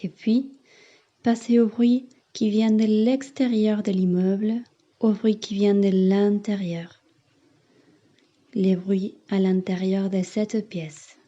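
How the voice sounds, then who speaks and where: A woman yoga teacher leading a guided meditation in soothing, measured instructions with long pauses, on a slightly muffled recording. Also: medium pitch, level tone, dark tone.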